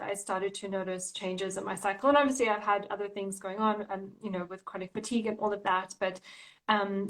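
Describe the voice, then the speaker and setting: Woman, 30s, show host; chatty, relaxed, lots of "um"s. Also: medium pitch, poor audio. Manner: conversational, many "um" fillers. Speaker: host, nutritional therapist. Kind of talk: casual podcast chat.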